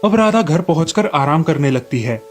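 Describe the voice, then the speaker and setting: A man's voice: fairly high pitch, lively intonation, moderate pace, faint music behind. A man reading scripted narration for children's moral stories as the storyteller.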